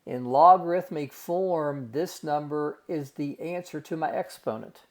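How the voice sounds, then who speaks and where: A man's voice: on the high side, a little slow. A man teaching a recorded math lesson, working through calculations step by step in a folksy way and calling his students guys and gang.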